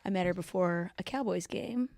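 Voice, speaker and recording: A woman's voice: fairly low pitch, normal speed, darkish tone, no echo. A woman on a podcast panel, chatting casually. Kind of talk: casual chat.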